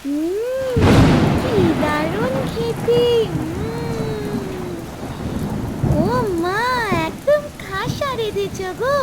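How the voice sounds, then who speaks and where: An eager little village girl in a fairy-tale cartoon. The voice is very high and slow, childlike and pleading, under loud rain and thunder. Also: animated, heavy background noise, heavily acted. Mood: delighted. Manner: childlike, whiny, drawn-out pleading.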